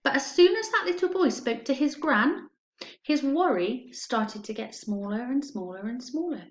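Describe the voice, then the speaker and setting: A woman's voice: high-pitched, lively intonation, moderate pace. A woman, a primary school teacher, reads a children's story aloud and talks to pupils, explaining simply and stressing words expressively. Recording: echoey, roomy sound.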